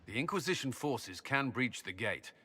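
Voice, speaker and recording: An actor playing a resolute, authoritative military commander in a fantasy video game. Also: male voice, mid-range voice, animated, sharp tone, slight background noise. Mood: resolute, businesslike. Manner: brisk, authoritative. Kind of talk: dramatic video game acting.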